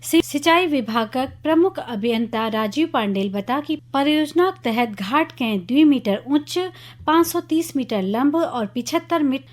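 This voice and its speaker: A woman's voice: high voice, lively intonation, unhurried. A woman with a Kumaoni accent reading a scripted regional news bulletin on the radio.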